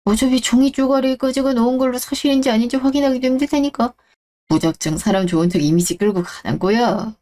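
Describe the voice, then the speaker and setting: A woman's voice: a little high, quick pace, dark tone, lightly acted. A woman voicing a scheming middle-aged man in a radio drama, muttering to himself and acting falsely friendly. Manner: smug, muttering to himself, then fake-friendly.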